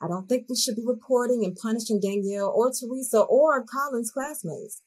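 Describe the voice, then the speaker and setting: A middle-aged woman playing a diplomatic but firm school principal in a dramatized meeting, speaking in a measured way on a video call. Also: a little high, darkish tone, breathy edge, rough recording. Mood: firm, reasoning.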